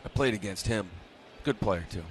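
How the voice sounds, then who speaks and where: A man's voice: on the low side, normal speed, slight background noise. A man giving conversational, colloquial color analysis during a live radio baseball broadcast. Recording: steady stadium ambience behind live broadcast. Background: ballpark ambience.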